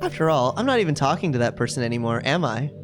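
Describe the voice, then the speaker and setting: A man's voice: medium pitch, sing-song, quick pace, close to an everyday voice. A man voicing a sweet, possessive, self-aware schoolgirl from a video game in a chatty, cutesy, confiding way. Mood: sweetly confiding. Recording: music playing behind the voice. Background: game music.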